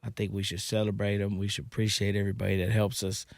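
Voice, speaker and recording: A man's voice: fairly low pitch, monotone, fast, vocal fry, dry recording, clean studio sound. A man hosting a casual interview, easygoing and affirming. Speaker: interview host.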